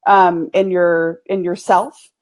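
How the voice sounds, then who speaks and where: A woman's voice: on the low side, flat delivery, slow. Candid East Texas businesswoman. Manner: folksy, candid, laughs easily. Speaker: agency owner, guest. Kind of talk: casual interview conversation.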